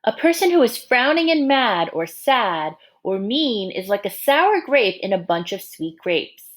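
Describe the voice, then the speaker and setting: A woman reading a picture book aloud to young children like a teacher, expressive and encouraging, pausing to ask the listeners questions and add asides. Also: fairly high pitch.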